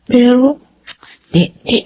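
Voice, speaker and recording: A woman's voice: fairly high pitch, lively intonation, slow, slightly rough, exaggerated voice. An actress playing an earnest, hesitant young office woman in a radio drama, with a Filipino accent. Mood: troubled longing. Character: young office woman torn over attraction to brother-in-law. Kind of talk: Tagalog radio drama acting with narration. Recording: dull lo-fi audio with faint noise.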